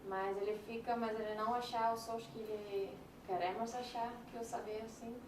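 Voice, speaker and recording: A woman from the Netherlands with a Dutch accent, a student speaking to a class in halting second-language speech. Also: fairly high pitch, steady tone. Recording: noisy echoey room, far from mic.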